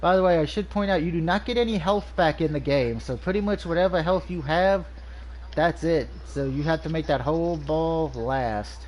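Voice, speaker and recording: A man's voice: on the high side, moderate pace, a little mellow, a little hiss or hum, poor audio. Nasal man reviewing a video game. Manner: rambling, frequent ums and restarts. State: nasal from allergies. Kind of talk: casual game review over gameplay.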